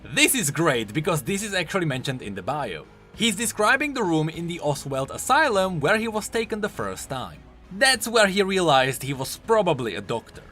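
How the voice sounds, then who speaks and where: A male video host delivers game commentary over background music. His voice is fairly high and bright, with extremely lively pitch swings from phrase to phrase. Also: moderate pace, hardly any pauses. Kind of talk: scripted commentary on game voice lines.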